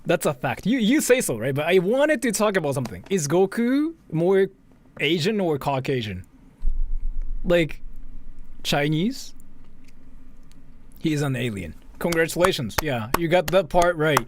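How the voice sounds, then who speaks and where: A man chatting casually as a livestream host, rambling and haltingly repeating himself. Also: on the high side, sing-song. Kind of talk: casual livestream chat and reaction commentary.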